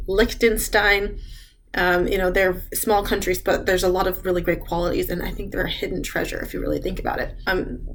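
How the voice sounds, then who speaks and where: A young American woman giving casual advice about studying abroad, chatty and full of fillers with frequent little laughs. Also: mid-range voice. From United States.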